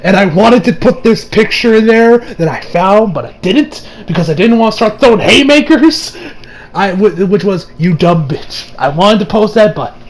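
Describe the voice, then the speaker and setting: A man giving a casual, rambling review in an informal, excitable, sweary way. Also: sits high, normal speed. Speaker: YouTube movie reviewer.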